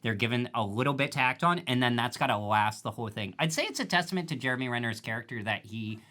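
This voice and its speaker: A man's voice: mid-range voice, fast, bright, forceful tone. A man co-hosting a casual review podcast, talking in a skeptical, argumentative way. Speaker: podcast co-host.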